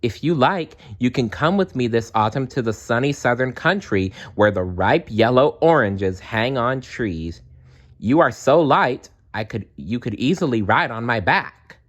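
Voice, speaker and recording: A man voicing a kindly mistle thrush from a storybook, gentle and reassuring. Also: medium pitch, animated, measured pace, pitched above the performer's own voice. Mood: comforting. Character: kindly mistle thrush comforting a little elf. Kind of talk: storybook read-aloud.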